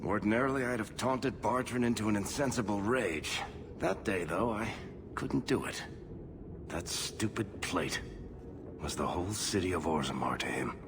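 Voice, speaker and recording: A man's voice: on the low side, animated, moderate pace, background noise. A man voicing a wry, loyal dwarf rogue and storyteller in a video game cutscene, with a dry, sardonic manner. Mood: wistful, reflective.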